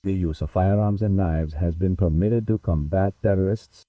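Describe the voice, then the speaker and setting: A man's voice: low-pitched, normal speed, no room sound, far from the mic. A man reading a scripted emergency broadcast announcement in a formal, grave manner over a tinny, phone-like channel.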